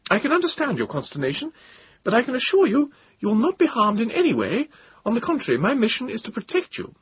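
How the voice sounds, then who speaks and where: A man's voice: very high-pitched, wildly swooping pitch, fast talker, no room sound, pitched above the performer's own voice, heavily acted. A man doing a commanding woman captain. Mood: brisk. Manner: crisp and authoritative. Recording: dull, dry lo-fi sound.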